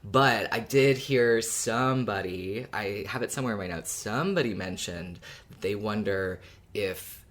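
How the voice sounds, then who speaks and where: A podcast co-host speaks in a measured, thoughtful way. The delivery is casual but explanatory, as in a relaxed discussion among fans. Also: deep voice.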